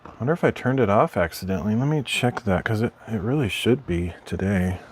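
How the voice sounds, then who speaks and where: Low-voiced man chatting while crafting. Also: expressive, fairly quick, slight background noise. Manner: rambling, self-correcting, mild and low-key. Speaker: streamer. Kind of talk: casual livestream chat while crafting.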